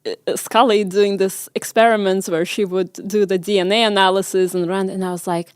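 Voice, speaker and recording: A woman in her forties from Russia with a Russian accent, telling her story as an interview guest with many pauses and ums. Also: medium pitch, fairly even intonation, clean tone, no echo, clean studio sound. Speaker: guest, medical researcher. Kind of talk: conversational interview.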